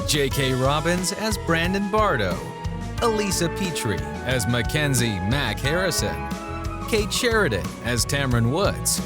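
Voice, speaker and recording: A man's voice: fairly high pitch, big pitch swings, a little slow. A man announcing the scripted opening credits of a podcast, with music playing behind him. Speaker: show announcer reading credits. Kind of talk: scripted podcast intro narration. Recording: loud music under the voice. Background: theme music.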